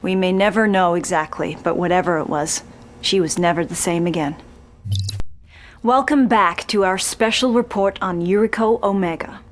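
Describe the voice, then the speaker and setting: A woman playing a serious, dramatic television special reporter in a video game, narrating in a polished, measured and ominous way. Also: on the low side, a little hiss or hum, lightly acted.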